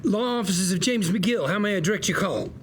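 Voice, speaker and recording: An actor putting on a British accent as a prim, courteous law-office receptionist at a table read. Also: male voice, sits high, fairly quick, lightly acted. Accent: British, put on. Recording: faint room noise.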